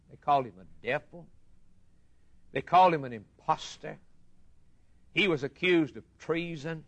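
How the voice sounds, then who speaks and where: A man's voice: mid-range voice, a bit bright. A man preaching a sermon as he expounds scripture, impassioned and emphatic, repeating phrases for weight. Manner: impassioned, emphatic, with deliberate repetition.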